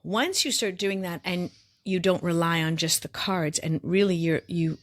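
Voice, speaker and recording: A middle-aged woman co-hosting a podcast in casual chat, a little sniffly, explaining things in long chatty lists with asides. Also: on the low side. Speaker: podcast co-host.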